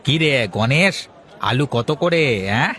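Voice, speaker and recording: A man's voice: fairly high pitch, animated, measured pace, pushed and bright, some chatter behind. A man voicing brash village market folk in a cartoon, with a blunt, teasing, colloquial manner. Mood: pushy. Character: brash male market vendor and haggling customer, teasing, grumbling. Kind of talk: cartoon character dialogue.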